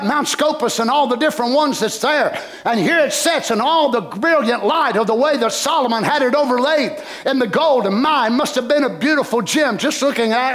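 An older man preaching a fervent sermon, breaking into shouted bursts and cries of hallelujah. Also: extremely high pitch.

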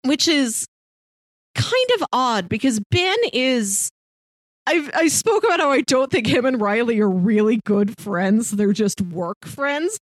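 A woman's voice: on the high side, lively intonation, unhurried, no room sound, clean studio sound. A woman co-hosting a podcast in casual film discussion, opinionated in how she talks. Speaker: podcast co-host.

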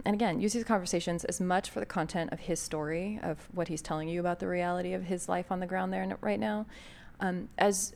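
A woman's voice: fairly low pitch, vocal fry, a little mellow, slight background noise. An American woman hosting a podcast, introducing an episode in a thoughtful, conversational way with frequent fillers. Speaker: podcast host.

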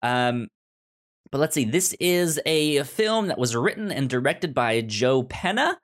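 A man's voice: medium pitch, big pitch swings. Guy doing a casual movie podcast chat, kinda stammery, keeps repeating bits of words. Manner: halting, repeats and stutters on words. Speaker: film review podcast co-host.

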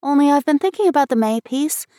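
Woman voicing an earnest, high-pitched young schoolgirl. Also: animated, quick pace, mellow, no echo, studio quality. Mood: earnest, hesitant. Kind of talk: storybook character reading.

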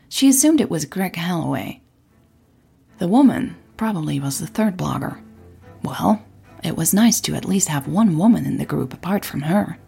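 A woman narrates a scripted story in a measured, dramatic manner. Her voice is on the low side, markedly gravelly and very breathy, with music underneath. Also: mellow. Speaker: podcast host and storyteller. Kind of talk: scripted audio fiction narration.

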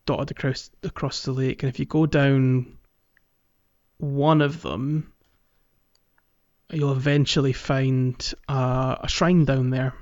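A man co-hosting a podcast, talking casually and haltingly as he explains things, with the odd chuckle. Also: mid-range voice, fairly even intonation, darkish tone, no echo. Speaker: podcast co-host. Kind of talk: casual game-walkthrough podcast chat. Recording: dull, lo-fi, dry close recording.

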